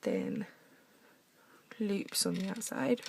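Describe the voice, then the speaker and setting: Croaky woman showing a craft. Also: medium pitch, big pitch swings, slow pace, in a whisper. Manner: chatty, talks while drawing, drops to soft mutters. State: croaky from a cough. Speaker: craft tutorial maker. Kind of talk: casual craft demonstration. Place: home desk, indoors.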